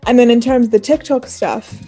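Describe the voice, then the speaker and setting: Breathy woman interviewer. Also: a little high, fairly quick, dark tone, faint music behind. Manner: affirming, repeats "beautiful". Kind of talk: warm interview questioning.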